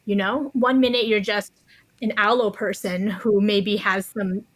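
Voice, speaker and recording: Woman, mid-range pitch, podcast guest, conversational, thoughtful, articulate, carefully qualified explanations. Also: steady tone, measured pace, low-quality recording. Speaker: interview guest, author.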